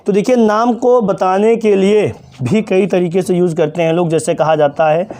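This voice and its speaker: A male teacher giving a classroom lesson to children, asking questions and answering them himself, and slowly spelling out example phrases. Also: high-pitched, fairly even intonation, mellow. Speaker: English teacher.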